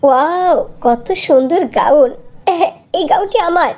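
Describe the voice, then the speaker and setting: A woman's voice: high voice, expressive, slow pace, a little mellow. A woman voicing a spoiled, spiteful young stepsister in a fairy-tale cartoon, whiny and entitled. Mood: gushing, grabby.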